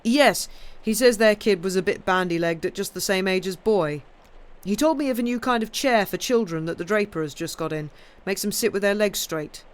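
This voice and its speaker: A reader voicing a jovial, blustering middle-aged husband with a loud, hearty manner. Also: medium pitch, normal speed, lightly acted.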